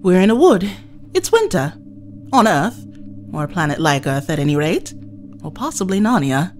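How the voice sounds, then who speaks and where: An actress playing a feisty, sarcastic young travelling companion in an audio drama, cheeky and exclamatory. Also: female voice, fairly low pitch, sing-song, moderate pace, soft background music. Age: young adult.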